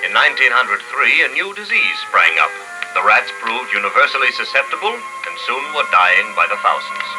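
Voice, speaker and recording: Man playing solemn scholar, oratorical, formal, lively intonation, old radio drama recording, music in background. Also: mid-range voice, fairly quick, lightly acted, rough recording. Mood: grave, didactic. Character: grave scholarly male voice warning of extinction. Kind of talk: 1950s radio drama lecture-like narration.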